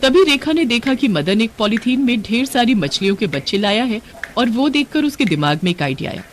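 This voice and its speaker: Very expressive woman storyteller. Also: a little high, fairly quick, slight background noise. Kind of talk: scripted moral story narration.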